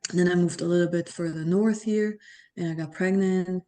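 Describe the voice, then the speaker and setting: A woman from Germany speaks, her voice pitched on the low side and barely moving in pitch. She is a podcast guest in her thirties. She explains things at a steady pace and often pauses on "um" or restarts a sentence. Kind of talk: casual interview talk.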